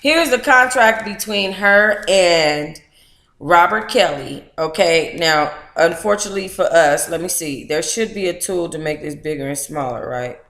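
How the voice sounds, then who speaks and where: A woman's voice: fairly low pitch, animated, a little slow, fairly bright tone. A middle-aged woman hosting a book club livestream, chatty and candid, with colloquial asides as she talks and reads aloud. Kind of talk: casual livestream chat with reading aloud. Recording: roomy, echoing room sound.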